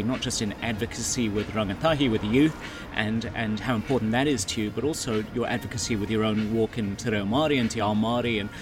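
Man, English-born, mid-pitched, chatty interview host, outdoor cafe, noisy background. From England. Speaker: podcast host. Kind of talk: casual interview. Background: cafe and traffic noise.

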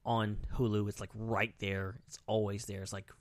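A man's voice: on the low side, level tone, normal speed, no room sound. A man co-hosting a podcast in casual chat, talking informally in loose fragments peppered with "like". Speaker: podcast co-host.

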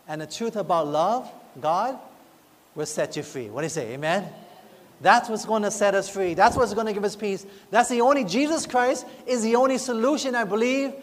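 A man preaching a sermon as pastor, often asking the congregation whether they agree. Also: sits high. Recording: long hall reverb.

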